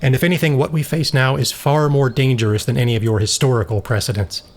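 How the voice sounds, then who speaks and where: A man's voice: mid-range voice, fairly even intonation, quick pace, close to an everyday voice. A man playing a resolute, hard-line former soldier in an audio drama, speaking firmly and seriously. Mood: grim, determined. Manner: firm, serious, argumentative.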